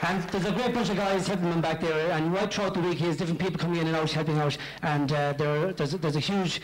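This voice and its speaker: A middle-aged man with a western Irish accent giving an informal, halting thank-you speech from a stage into a handheld microphone. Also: on the high side, steady tone, a faint crowd. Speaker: pantomime company speaker giving thanks. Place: on stage in a theatre. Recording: noisy live theatre recording, loud audience.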